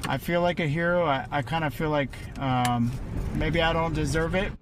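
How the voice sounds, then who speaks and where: A man who works as an air traffic controller, recalling events informally in an interview, modest and halting. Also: on the high side, fairly even intonation.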